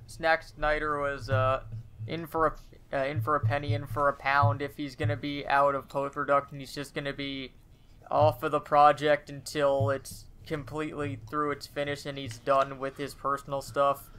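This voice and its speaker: A man co-hosting a casual panel chat, speaking in a hesitant, halting way. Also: a little high, flat delivery, fairly bright tone, clear, resonant tone. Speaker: podcast co-host.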